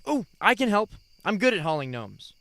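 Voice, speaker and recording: A kindhearted young boy, played by a male actor in a radio drama, earnest and sweet. The voice sits fairly high for a male and moves in lively swings. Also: normal speed, dry recording, heavily acted. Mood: eager, earnest. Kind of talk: radio play acting.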